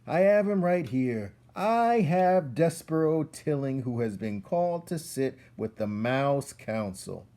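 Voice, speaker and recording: A man voicing a self-important male mouse who shouts out proclamations in a storybook. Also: mid-range voice, animated, takes it slowly, few pauses, voice pushed up.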